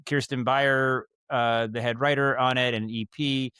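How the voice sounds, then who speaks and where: A man speaking as a guest in a casual interview, thinking aloud haltingly and circling back on his thoughts. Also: medium pitch, level tone, bright, forceful tone, smooth, no echo. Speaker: podcast guest, writer and director of audio dramas.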